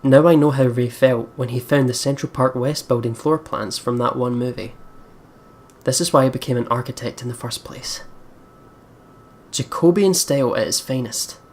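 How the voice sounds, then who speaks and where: Expressive woman reading and reacting to a game. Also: a bass voice, moderate pace. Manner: expressive, exclaiming, swears casually. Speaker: let's play host. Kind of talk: reading visual novel narration with casual reactive commentary.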